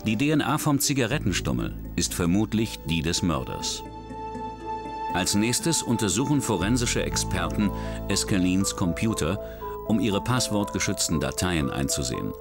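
A man giving scripted true-crime documentary narration in a measured, even way. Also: low voice. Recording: studio voice-over with music bed.

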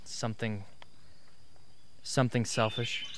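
A man's voice: medium pitch, flat delivery, slow, background noise, lightly acted. An actor playing an embittered, intense young male rebel in a radio drama. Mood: wistful, resigned.

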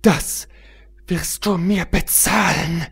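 A man's voice: sits high, fairly even intonation, slow, creaky, fairly bright tone, slightly rough, pitched above the performer's own voice, exaggerated voice. A man voicing a vain, ladylike female unicorn in a storybook, dramatic and indignant. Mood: outraged. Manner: dramatic, outraged.

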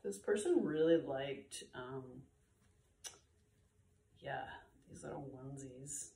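Slow, low-voiced woman, slightly congested. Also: slight background noise. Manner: relaxed, chatty, with frequent fillers. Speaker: vlogger sorting found clothes. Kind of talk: casual chat to camera. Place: bright room with bare walls, indoors. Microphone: camera a few feet away. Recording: roomy, slightly distant camera audio.

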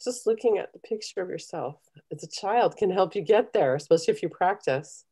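Low, mellow middle-aged woman. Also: expressive, fairly quick, breathy edge. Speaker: guest leading a guided meditation. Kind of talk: conversational coaching and guided meditation. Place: small home room, indoors. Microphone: computer video call.

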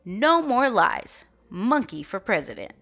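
A woman's voice: medium pitch, wildly swooping pitch, measured pace, vocal fry, a bit bright, soft background music, full character voice. A woman voicing an indignant, rabble-rousing monkey candidate in a storybook, punchy and emphatic. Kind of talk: storybook read-aloud.

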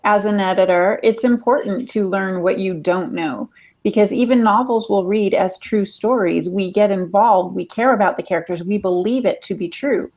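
A woman's voice: medium pitch, moderate pace, darkish tone. A middle-aged woman speaking as a podcast panelist on a video call, explaining things in a conversational way. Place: room at home, video call.

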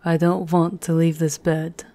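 A woman performs a seductive vampire countess in an ASMR roleplay. She speaks slowly and intimately, with a soft, mellow, low-pitched voice and aristocratic poise, possessive of her lover. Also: little pitch movement. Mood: amorous.

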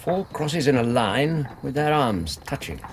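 An actor playing an earnest, romantic English gentleman doctor in a radio drama. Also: medium pitch, sing-song, measured pace, a little hiss or hum. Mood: wondering.

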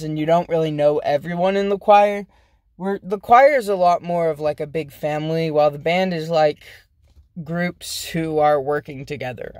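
A young man rambling in a casual vlog from inside a car, halting and self-deprecating, with many restarts. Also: high voice, no echo, clean studio sound. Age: young adult. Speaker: vlogger. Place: inside a parked car, daytime. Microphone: phone camera held close.

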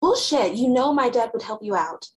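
A woman's voice: on the high side, lively intonation, moderate pace, lightly acted. A young woman with an American accent playing a candid, streetwise teenage girl, narrating a novel in a dramatic reading. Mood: worried, confronting. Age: young adult. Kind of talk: dramatic book reading, first-person narration and dialogue. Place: indoors in a small home room. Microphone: video call, close to computer.

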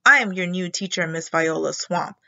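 A woman's voice: on the low side, normal speed, vocal fry, pushed and bright, dull audio. A woman voicing a mean, strict, witchy substitute teacher who snaps out stern commands in a storybook. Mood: stern, threatening.

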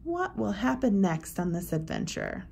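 A woman, around her thirties or forties, presenting recommendations to camera with deliberate, emphatic phrasing and pauses. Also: mid-range voice, huge pitch swings, gravelly, darkish tone, slightly rough. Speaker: presenter for a homeschool curriculum blog. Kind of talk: book recommendation walk-through to camera.

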